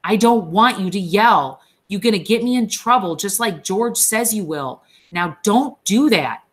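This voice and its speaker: A woman voicing a big, slow-witted, gentle farmhand man who talks in a childlike, pleading, frightened way. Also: on the high side, sing-song, voice pushed up.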